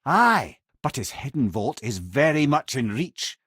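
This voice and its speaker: An actor with a Scottish accent playing a clever, talkative older sage in a video game, a wry and eloquent storyteller and guide. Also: male voice, mid-range voice, big pitch swings, measured pace, fairly bright tone, husky, no echo, clean studio sound, heavily acted. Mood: explaining, guiding. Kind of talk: in-game character dialogue and storytelling.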